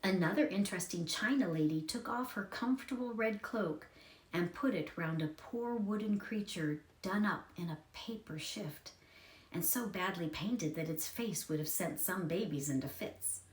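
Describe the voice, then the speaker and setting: A woman narrates a storybook from a script. Her voice sits a little low, and the room adds a clear echo to her reading. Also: animated, moderate pace.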